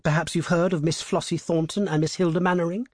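A man voicing a bold, sharp-tongued young actress in an audiobook, speaking briskly and cheekily. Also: on the high side, little pitch movement, no echo, clean studio sound, pitched above the performer's own voice, lightly acted. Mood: defiant. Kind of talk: audiobook character voice.

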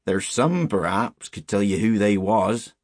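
A man's voice: lowish voice, animated, unhurried, dry recording, clean studio sound. A man voicing a grave old shepherd in a storybook, with a rural English accent and emphatic, cautionary country talk. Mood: grave, mysterious. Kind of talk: storybook character voice.

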